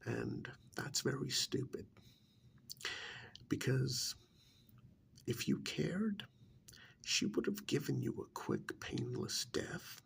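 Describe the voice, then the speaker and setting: A man playing a calm, menacing vampire emissary in a soft-spoken ASMR role-play, half-whispering in a slow, condescending way. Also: mid-range voice, a little hiss or hum, heavily acted. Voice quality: breathy, half-whispered, creaky. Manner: slow, deliberate, condescending, hesitant pauses.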